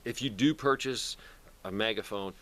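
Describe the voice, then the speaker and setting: A man's voice: on the low side, normal speed, a bit bright. A man talking casually to camera in a plainspoken, rambling way, like a video host showing his gear. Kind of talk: casual product talk to camera.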